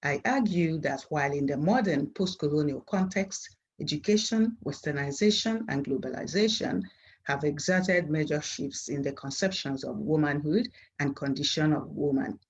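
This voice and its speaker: Husky Nigerian woman giving a formal lecture. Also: deep voice, normal speed, lo-fi sound. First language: Igbo. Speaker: university professor presenting at a conference. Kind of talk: formal scripted academic lecture.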